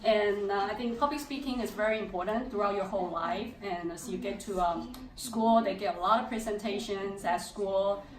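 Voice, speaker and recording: A woman's voice: medium pitch, normal speed, hardly any pauses. A woman, a parent, giving an informal testimonial speech to an audience. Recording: echoey room, distant mic, faint noise.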